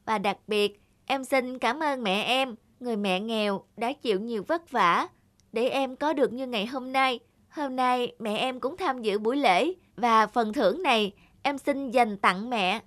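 A woman voicing a devoted, earnest teenage student from a poor family in an animated moral tale, polite and youthful in manner. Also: fairly high pitch, unhurried. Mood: grateful.

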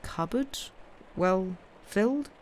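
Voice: medium pitch, expressive, slow, voice pushed up. A narrator playing a timid, browbeaten man in an audiobook, mumbling and hesitant, as if dazed. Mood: timid, wary. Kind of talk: dramatic audiobook character voice.

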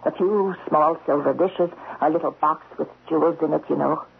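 Blunt, chatty housekeeper, tinny radio. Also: female voice, deep voice, moderate pace, gravelly, husky, full character voice. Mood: gossipy. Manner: folksy, talkative, blunt. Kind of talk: radio mystery drama acting. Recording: muffled, noisy old radio broadcast.

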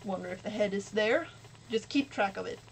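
A woman's voice: mid-range voice, brisk, gravelly, rough recording. A young woman chatting casually as the host of a gaming video, recorded in a room indoors. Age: young adult. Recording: noticeable background noise.

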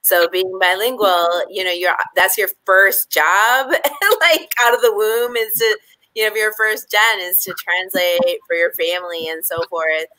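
A young woman on a video call, speaking in a lively way and laughing between phrases. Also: mid-range voice, a little slow, no room sound, rough recording. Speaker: panelist.

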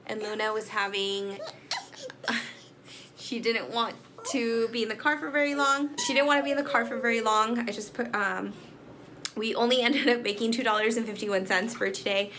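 A woman's voice: on the high side, steady tone, normal speed, a bit bright, a little hiss or hum. A woman in her thirties, chatting casually to her phone camera at home and in the car, rambling with fillers and little laughs. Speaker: vlogger, mom. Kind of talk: casual daily vlog chat. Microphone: phone camera at arm's length.

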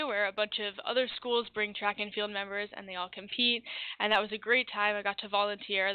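A woman's voice: mid-range voice, smooth, lo-fi sound. A teenage girl, the student representative, giving an earnest, orderly report at a school board meeting in a meeting room. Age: teens.

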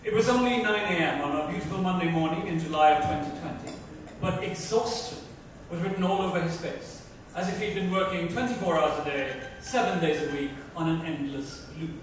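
A man's voice: on the high side, a little fast, few pauses. A man reading a novel aloud as dramatic scripted narration. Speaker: reader. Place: club lounge with seated panel, indoors. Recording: echoey room, distant mic, faint noise.

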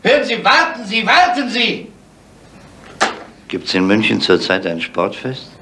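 An actor playing a gruff, bitter and driven police commissioner in a 1970s crime radio drama, grumbling and urgent. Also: male voice, sits high, huge pitch swings, unhurried, noisy background, full character voice.

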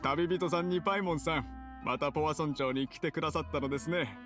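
アニメ調ゲームで、忠実で謙虚な若い補佐役を丁寧な敬語調で演じる男性。. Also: male voice, a little high, fast, music in the background, lightly acted. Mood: respectful. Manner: formal, deferential. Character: polite, loyal young male aide, humble and earnest. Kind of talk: Japanese anime game voice acting.

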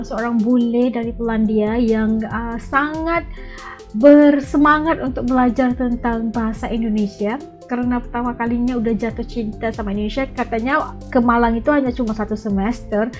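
A middle-aged Malaysian-accented woman with a fairly high voice gives casual reaction commentary in a small home studio. Music plays behind her as she talks to the camera. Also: moderate pace. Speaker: reaction video host.

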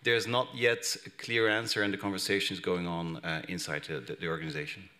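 A man in his thirties from Belgium answering questions on a conference panel, halting with many restarts, speaking into a table microphone in a large hall. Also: sits low, little pitch movement, bright, forceful tone. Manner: hesitant, many restarts and fillers. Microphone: table microphone on stand.

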